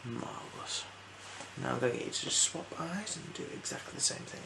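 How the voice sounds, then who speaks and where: A soft-spoken person performing an ASMR eye exam roleplay as an optician, giving gentle instructions with hesitant pauses. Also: medium pitch, animated, gravelly, background noise.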